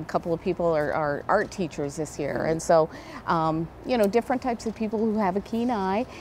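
A woman's voice: lowish voice, a little mellow, slight background noise. A middle-aged woman with an American accent, answering questions conversationally as an event director in a TV interview, on a lapel mic. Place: large indoor event hall.

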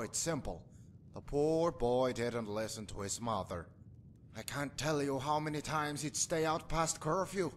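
A man's voice: medium pitch, slight background noise, lightly acted. An actor playing a superstitious rustic husband and father in a video game, folksy, breezy and matter-of-fact about grim things. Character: jovial superstitious rustic husband and father, callous, cheerfully macabre. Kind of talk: video game character acting.

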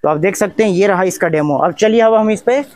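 High-pitched young man presenting. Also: brisk, darkish tone. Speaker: tech review channel host. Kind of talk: product demonstration vlog narration.

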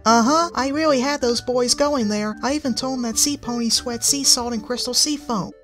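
Give me a bubbly, giddy young seapony girl from a storybook, pitched well up into a high register and bouncing with excitement, as a reader puts her on. Light music plays faintly behind the voice. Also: moderate pace, natural voice.

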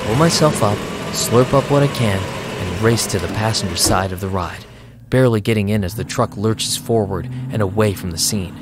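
A man's voice: lowish voice, moderate pace, noisy background, close to an everyday voice. An actor playing a harried, irreverent young male vampire DJ, narrating his own story in an audio drama. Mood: tense, wry. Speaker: narrator and lead character. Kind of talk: first-person audio drama narration and dialogue. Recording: music bed under voice.

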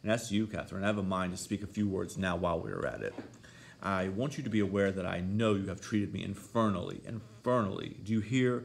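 A man's voice: deep voice, big pitch swings, very fast, vocal fry, few pauses, heavily acted. A man voicing a brooding, vengeful villain in a story, growling, bitter and defiant.

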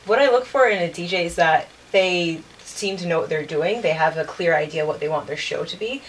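Young woman w a low voice chatting in an interview, bit of background noise. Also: a little fast, far from the mic, rough recording. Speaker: college radio programming director, guest. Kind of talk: casual interview chat. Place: furnished indoor music room studio.

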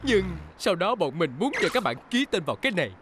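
A voice actor playing a boastful young sports car in a dubbed children's cartoon, eager and quick to protest. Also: medium pitch, sing-song, background noise, natural voice. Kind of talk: cartoon dubbing.

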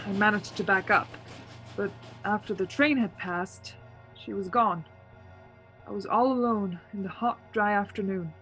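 A woman's voice: mid-range voice, close to an everyday voice, low-quality recording. An actress playing a frightened, desperate woman driver in a suspense radio play, with dramatic intensity. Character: frightened, increasingly desperate woman driver haunted on a road trip. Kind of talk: suspense radio play acting and first-person narration.

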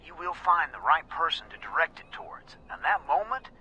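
An actor playing a folksy, rambling middle-aged resistance insider in a video game, giving awkwardly avuncular talk over a call. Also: male voice, on the high side, lively intonation, fairly quick, sharp tone, husky, dull audio, exaggerated voice. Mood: awkward, earnest. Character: folksy, rambling male resistance insider, self-styled old hound dog.

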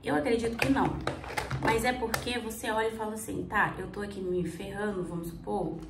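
A woman's voice: medium pitch, steady tone, a little fast, vocal fry. A woman in her twenties with a cold, chatting casually to her viewers while she does her makeup, rambling and asking herself questions. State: has a cold. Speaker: vlogger. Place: small indoor room. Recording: roomy, slightly distant mic, faint hum.

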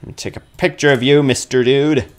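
A man's voice: mid-range voice, steady tone, a little fast. A man giving casual, goofy let's play commentary on a horror game, talking to himself as he plays.

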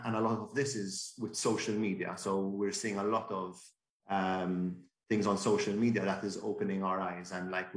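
A man's voice: low voice, echoey room. A man on a video call in a panel discussion, speaking hesitantly with many restarts. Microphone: webcam on a video call.

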